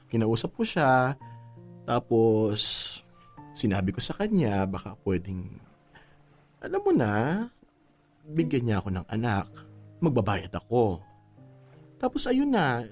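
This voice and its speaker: An effeminate Filipino father in his fifties as a male actor plays him in a radio drama. The voice is campy and emotional, swinging widely in pitch, with music underneath. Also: wildly swooping pitch, takes it slowly, pauses often, a little mellow, full character voice. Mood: hesitant, confessing. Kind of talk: conversational radio drama acting. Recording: music bed under the dialogue.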